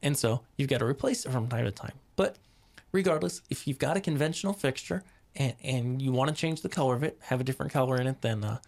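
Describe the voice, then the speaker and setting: A man hosting a podcast about stage lighting, casually explaining gear with many ums and restarts while hoarse from a cold. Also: mid-range voice, fast talker, a little mellow. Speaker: podcast host.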